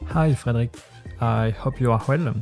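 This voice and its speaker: A man, a native French speaker, hosting a podcast and asking questions in careful, slightly halting English. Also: mid-range voice, creaky, music in the background. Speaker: podcast host. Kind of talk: interview questions.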